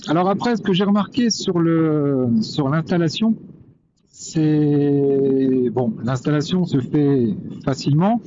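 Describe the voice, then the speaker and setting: A man's voice: on the high side, slow. A man giving a casual, hesitant commentary while riding a motorcycle, thinking aloud into a helmet intercom mic. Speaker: motovlogger reviewing gear. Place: on a motorcycle on the road. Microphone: intercom boom mic inside helmet. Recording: muffled helmet intercom mic, loud wind noise. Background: wind and vehicle noise.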